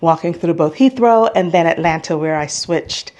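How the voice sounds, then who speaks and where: A woman's voice: on the low side, normal speed, a little mellow. An American woman talking casually in a travel vlog, chatty and opinionated, stressing points by repeating herself. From United States. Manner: chatty, opinionated, emphatic repetition. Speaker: travel vlogger.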